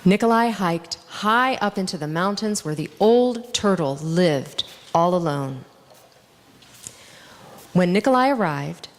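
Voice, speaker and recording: A woman with a lowish voice reads a children's story to a gathering of all ages. Her delivery is slow and highly animated as she dramatizes the characters. Her voice rings through a large hall with long reverb. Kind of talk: expressive read-aloud children's story narration.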